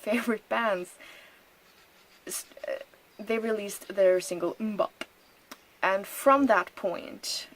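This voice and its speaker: A woman in her thirties from Norway, hosting a crafting podcast in a chatty, casual way, laughing often and pausing with ums. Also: mid-range voice, rough recording. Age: 30s. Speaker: knitting podcast host. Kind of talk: casual solo podcast chat.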